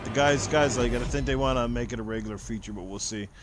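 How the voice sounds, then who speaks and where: A man's voice: mid-range voice, fast. Joking podcast host man, noisy background. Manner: loose, joking, reads out chat comments. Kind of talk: casual chat.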